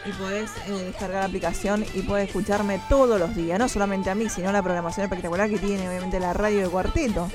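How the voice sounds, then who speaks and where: A woman's voice: medium pitch, fairly even intonation, fast, few pauses. A woman from Mendoza, Argentina, with an Argentine accent, hosting a morning radio show and chatting directly with her listeners. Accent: Argentine, mixed Mendoza and Córdoba. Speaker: radio host. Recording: music playing behind the voice. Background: music.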